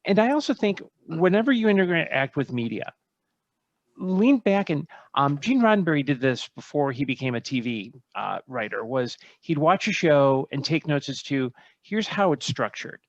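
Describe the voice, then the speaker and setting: A man's voice: fairly high pitch, sing-song, moderate pace, dry recording, dull audio. A man giving conversational advice as a panelist on a discussion.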